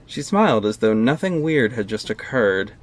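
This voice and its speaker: A man's voice: medium pitch, sing-song, moderate pace, natural voice. A man narrating an audio drama in the first person, with a wry, casual storytelling manner.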